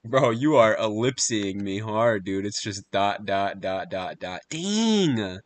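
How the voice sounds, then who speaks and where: Low-voiced guy in casual live reaction chat. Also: expressive, slow pace. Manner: slangy, easily amazed, swearing. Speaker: streamer reacting to videos.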